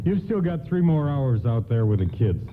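A man's voice: medium pitch, sing-song, moderate pace, natural voice. An actor playing a friendly, coaxing department store doorman in an old-time radio play. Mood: encouraging. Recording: tinny radio broadcast, faint noise.

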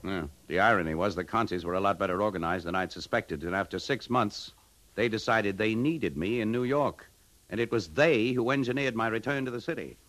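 An actor with an American accent playing a cynical, wry advertising executive who narrates a 1950s radio drama. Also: male voice, fairly low pitch, fairly quick, no echo, natural voice, low-quality recording. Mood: wry, tense narration. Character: self-respecting, cynical advertising executive on the run. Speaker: narrator and lead. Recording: 1950s radio drama, loud music underneath.

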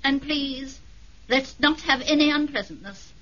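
An actress playing a coldly polite aristocratic villainess in a radio drama, commanding and courteous. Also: female voice, high-pitched, measured pace, a bit bright. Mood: icily polite. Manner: genteel, commanding, icily courteous. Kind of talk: 1970s radio drama acting. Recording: dull 1970s radio broadcast recording.

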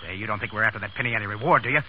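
A man's voice: on the low side, racing, lightly acted. An actor playing a brash, bossy, wisecracking man with clipped slang in a 1930s American radio drama. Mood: menacing, tough. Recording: dull, noisy 1930s radio recording.

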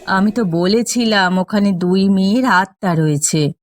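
A man voicing an alarmed, insistent village woman with a shrill tone in a cartoon ghost story. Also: a little slow, dry recording, clean studio sound. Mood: insistent, distressed. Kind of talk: animated ghost-story character acting.

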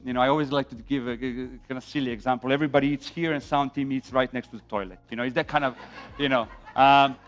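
A man's voice: medium pitch, fast talker. Serbian guy giving a casual conference talk, emphatic, kinda dull lo-fi sound, faint music. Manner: animated, emphatic, rhetorical questions. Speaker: conference speaker on film sound. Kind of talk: informal presentation talk. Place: dim indoor conference room, on stage. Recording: dull lo-fi event recording with music.

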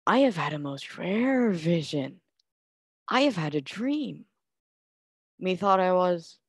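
A man's voice: high voice, big pitch swings, slow pace, no echo, studio quality, heavily acted. A man playing a bewildered, self-important workman waking from a wondrous dream in a Shakespeare monologue. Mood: wondering.

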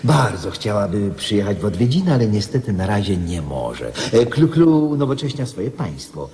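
Fussy court marshal, hesitant, obsequious, animated pitch, reverberant hall, radio play. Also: male voice, moderate pace, a little hiss or hum. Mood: deferential. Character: fussy, deferential male court marshal, nervously apologetic. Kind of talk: radio drama acting.

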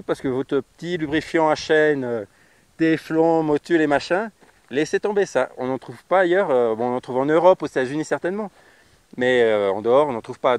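A man's voice: on the high side, dry recording. A man in his thirties from France, a motorcycle travel vlogger, talking casually to the camera outdoors on a grassy roadside through a lapel mic, blunt and slangy with plenty of fillers. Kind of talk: casual how-to talk to camera. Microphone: lapel mic on riding jacket.

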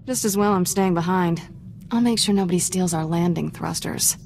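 Wisecracking young game sidekick, slightly low female voice, breezy, sardonic, restless, faint background noise. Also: moderate pace, gravelly, a little mellow. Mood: wry. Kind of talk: video game acting.

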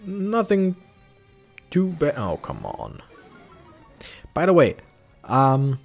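A young man chats through his playthrough, animated and self-correcting. His voice sits a little high and swings widely in pitch. Faint game music plays behind him. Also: drawn-out words, creaky. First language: German. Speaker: let's play streamer. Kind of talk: casual gameplay commentary.

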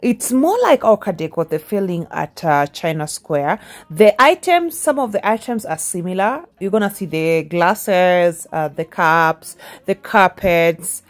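Young woman vlogger, lively pitch, chatty voice-over that keeps asking viewers for their opinion. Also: measured pace. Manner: chatty, asks viewers questions. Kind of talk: casual voice-over for a shopping tour.

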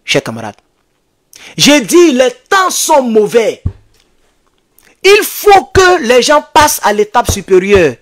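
A man's voice: very high voice, takes it slowly, long pauses. An Ivorian man in his thirties speaking as an activist host, giving impassioned, urgent commentary to his online followers and repeating phrases for emphasis. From Ivory Coast. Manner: emphatic, repetitive, urgent, animated. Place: small indoor home studio. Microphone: desk microphone close in front.

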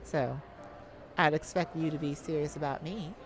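A woman's voice: low-pitched, steady tone, normal speed, background noise. A woman playing a playful, shyly romantic lady thief in an ASMR audio roleplay, with a teasing, hesitant manner. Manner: teasing, hesitant, breaking into soft laughs. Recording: music behind the voice.

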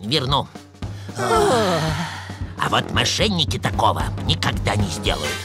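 A clever cartoon mouse with a strict teacher's manner. The speech is precise and instructive, with very varied intonation. Music is clearly present behind the voice. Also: male voice, mid-range voice, wildly swooping pitch, slow pace. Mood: stern, didactic. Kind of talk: animated educational cartoon dialogue.

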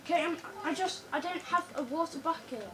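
Croaky high girl, far off with noise. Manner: hurried, repeats words under time pressure. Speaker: co-host playing a building game. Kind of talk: casual gameplay chat. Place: living room, in front of a TV. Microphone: phone camera filming the TV screen. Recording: far-off phone audio with room noise.

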